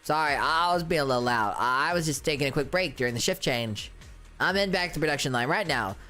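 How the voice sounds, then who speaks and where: A man's voice: a little high, lively intonation, fairly quick, pushed and bright, faint music behind. A man voicing a jumpy, evasive factory worker in a video game. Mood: flustered, defensive.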